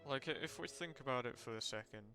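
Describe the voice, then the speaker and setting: A male game streamer with a mid-pitched, bright voice, commenting casually. He thinks out loud in a halting way, with game music faintly behind him. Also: gravelly. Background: video game music.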